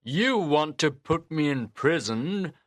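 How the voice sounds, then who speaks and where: A man's voice: on the high side, lively intonation, takes it slowly, no echo, studio quality, pitched above the performer's own voice. A man doing a brave defiant village father. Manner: defiant, firm. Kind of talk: audiobook reading.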